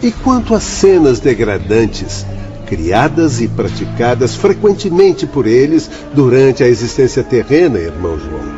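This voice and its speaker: An actor in a Brazilian radio drama playing an earnest, morally firm spirit doctor who explains things in measured, formal speech with a Brazilian accent. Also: male voice, mid-range voice, big pitch swings. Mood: reproving. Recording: dull lo-fi audio, faint music.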